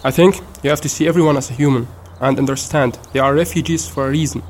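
A man's voice: mid-range voice, steady tone, fast, darkish tone, a little hiss or hum. Earnest young man from Syria. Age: young adult. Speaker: interviewee.

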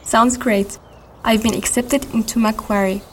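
A woman's voice: a little high, fairly even intonation, a little slow, a little hiss or hum. Friendly teenage girl asking questions. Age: 10s. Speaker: interviewer.

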